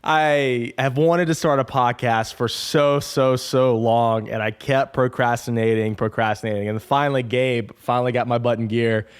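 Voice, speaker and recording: Young male podcast host, twenties, chatty and rambling, mid-pitched voice. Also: steady tone, normal speed, few pauses, clean tone. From Alabama. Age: 20s. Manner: rambling, chatty, upbeat with frequent restarts. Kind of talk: casual solo podcast intro.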